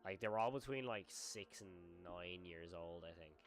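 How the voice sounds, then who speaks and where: Low-pitched man casually reacting on stream. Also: moderate pace, soft background music. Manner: casual, rambling, thinking aloud, mild swearing. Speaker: live streamer reacting to horror videos. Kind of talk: casual live reaction commentary.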